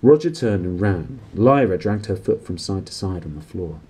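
Male narrator, thirties, low and slightly husky, dramatic storybook delivery. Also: normal speed. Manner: animated, dramatic phrasing. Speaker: book reader and presenter. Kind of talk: expressive storybook narration, read aloud. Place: small indoor room.

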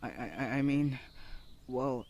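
A man's voice: medium pitch, expressive, unhurried, creaky, darkish tone. A man playing a shy, flustered young male university student in an ASMR roleplay, stammering and speaking in a hesitant, awkward, earnest way. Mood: flustered, apologetic. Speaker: voice actor. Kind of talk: ASMR boyfriend audio roleplay.

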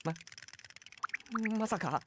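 A woman's voice: drawn-out words, slight background noise. A woman playing an earnest, easily startled schoolboy who stammers, in a Japanese anime audio drama. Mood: flustered, anxious. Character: flustered, earnest teenage schoolboy with amnesia.

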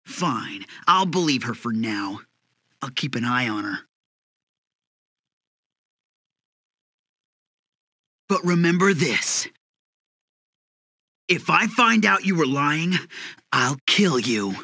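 Rough, gravelly young half-demon fighter. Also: male voice, mid-range voice, sing-song, slow pace, pauses often, sharp tone, no echo, studio quality, exaggerated voice. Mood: grudging, threatening. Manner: gruff, combative. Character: brash, hot-headed young male half-demon warrior. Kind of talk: anime game voice acting.

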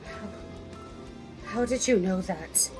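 A woman's voice: mid-range voice, takes it slowly, over music, lightly acted. A woman playing a proud, defiant elf in a horror audio drama, with haughty, formal, theatrical speech. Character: proud, defiant elf fighter under attack.

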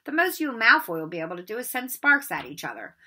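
A woman's voice: a little high, very animated, fast, sharp tone, exaggerated voice. A woman voicing a blunt, hot-tempered schoolboy who is a loyal friend in a storybook. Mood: blunt, indignant.

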